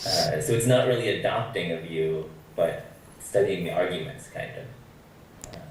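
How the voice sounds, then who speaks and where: A man with a fairly low voice asks tentative, carefully worded questions as a student. His phrasing is hesitant and roundabout, and he sounds far from the mic in a very echoey room. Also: measured pace. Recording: echoey, distant, faintly noisy microphone.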